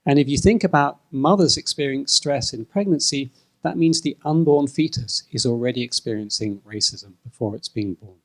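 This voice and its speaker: A man addresses a live event audience from a panel, laying out an explanation in a measured, unhurried way. He leans on small fillers as he goes, keeping his pitch in an ordinary middle range. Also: low-quality recording. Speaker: panel speaker.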